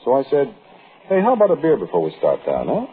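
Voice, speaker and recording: A man acting a folksy, plainspoken narrator in a 1940s radio drama, musing colloquially with halting asides to the listener. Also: medium pitch, huge pitch swings, slightly rough, heavily acted. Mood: hushed, reflective. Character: folksy, plainspoken man recounting an eerie day trip. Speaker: narrator and character in a radio play. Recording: muffled old radio drama recording.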